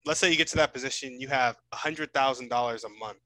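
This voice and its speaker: A man's voice: medium pitch, fast talker, pushed and bright, poor audio. A man hosting a podcast in a casual, informal and encouraging interview style.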